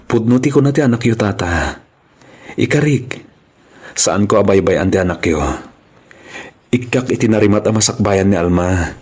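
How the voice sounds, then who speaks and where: A man with an Ilocano accent acting a humble, devoted young man in love in a radio drama, with a husky voice. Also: fairly low pitch, normal speed, pauses often, darkish tone. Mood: pleading, solemn.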